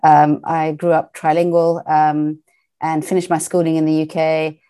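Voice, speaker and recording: A woman with a British accent and a low voice tells stories as an interview guest. She talks chattily, with plenty of fillers and laughter. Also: little pitch movement, moderate pace, warm tone, clean tone. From Portugal. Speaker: guest, entrepreneur. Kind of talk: casual interview storytelling.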